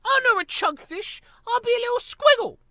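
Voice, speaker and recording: A man voicing a small boy squirrel with a shrill, squealing voice. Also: animated, moderate pace, pushed and bright, no room sound, studio quality, full character voice. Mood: excited. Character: baby male squirrel, squeaky and indignant.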